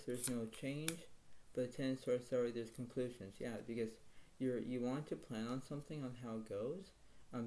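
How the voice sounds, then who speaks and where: A man giving a casual tarot reading to his viewers. He speaks quietly and rambles, circling back to the same stock phrases. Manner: talks quietly, apologizes for his low voice. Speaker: tarot card reader.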